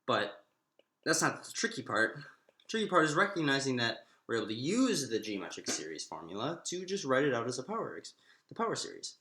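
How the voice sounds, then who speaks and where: Male maths lecturer, mid-pitched, informal and halting, thinking aloud step by step, roomy echo. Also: sing-song, sharp tone. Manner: thinking aloud, halting, often restating himself. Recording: echoey room.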